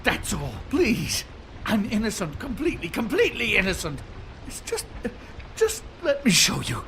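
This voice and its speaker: A man's voice: sits high, huge pitch swings, moderate pace, bright, forceful tone, slight background noise, exaggerated voice. A man playing a cowardly, desperate official in a video game, blustering and pleading in a whining stammer. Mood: panicked pleading. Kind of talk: melodramatic video game voice acting.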